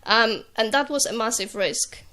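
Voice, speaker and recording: A woman whose first language is not English speaks as a guest in a radio studio. Her voice is bright and clear, and she talks in a measured, careful way with many hesitant pauses. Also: a little high. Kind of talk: radio talk show testimony.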